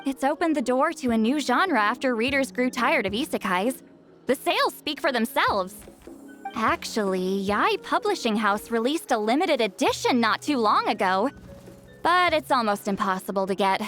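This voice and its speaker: A woman's voice: on the high side, animated, normal speed, a bit bright, faint music behind. A woman playing a proud, prickly, reclusive shaman in a video game, youthful-sounding, brusque and easily flustered. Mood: nerdy enthusiasm.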